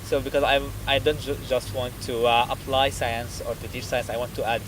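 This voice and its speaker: Young Syrian-born man, student interviewee, mid pitch, outdoor traffic noise. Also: level tone, moderate pace. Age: young adult. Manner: thoughtful, many fillers and restarts. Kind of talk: interview answers. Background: street traffic.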